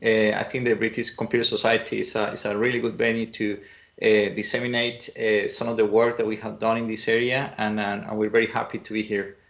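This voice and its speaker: Man from Colombia presenting over a video call, halting delivery, gravelly tone, muffled sound. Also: on the low side, little pitch movement, a bit bright. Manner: hesitant, many fillers and restarts. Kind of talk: online conference talk.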